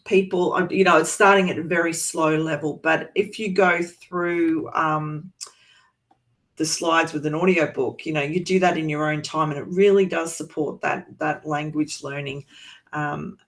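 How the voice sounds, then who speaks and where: Aussie language teacher talking through a teaching resource, easygoing, lots of ums and restarts, mid-pitched voice. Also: moderate pace. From Australia, east coast. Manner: relaxed and chatty, many ums and restarts. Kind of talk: informal presentation to educators.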